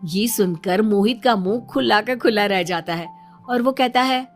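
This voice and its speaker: A woman's voice: medium pitch, a little slow, soft background music. A woman narrating a scripted story as the storyteller.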